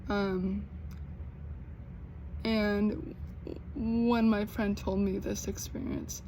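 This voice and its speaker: A young American woman who stutters, with repeated sounds and blocks, talking slowly and earnestly in a personal vlog. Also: medium pitch, little pitch movement, a little hiss or hum, rough recording. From United States. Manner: slow, earnest, gently encouraging. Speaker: vlogger.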